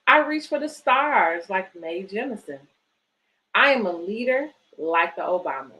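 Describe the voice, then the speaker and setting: A woman's voice: medium pitch, big pitch swings, measured pace, sharp tone, low-quality recording. A woman hosting a children's storytime, reading picture books aloud and chatting encouragingly to families. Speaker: host of a children's bedtime story series, book organisation director.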